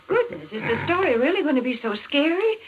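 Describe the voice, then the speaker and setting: Animated high-voiced woman in vintage radio advertising. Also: fast talker, loud music behind the voice. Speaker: radio commercial spokeswoman. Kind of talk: 1940s radio advertising pitch. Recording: tinny, band-limited 1940s radio recording.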